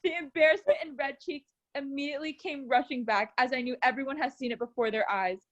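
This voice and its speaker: A woman's voice: high-pitched, fast, muffled recording. A woman reading a scripted story aloud in an earnest, dramatic way. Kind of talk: scripted narration read aloud.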